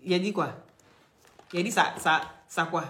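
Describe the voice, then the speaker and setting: A woman's voice: fairly low pitch, normal speed, fairly bright tone, slight background noise. Emphatic woman, echoey room. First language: not French. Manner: emphatic, lecturing, addresses viewers directly. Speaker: online vlogger and beauty product seller. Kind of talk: live social media chat and product pitch.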